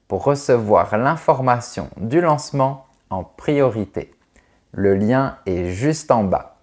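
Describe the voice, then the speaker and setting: French guy in his 30s teaching, talks slow n clear, voice goes way up and down. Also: medium pitch. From France. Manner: carefully articulated, friendly teacherly delivery. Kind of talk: slow, clear video Q&A for learners.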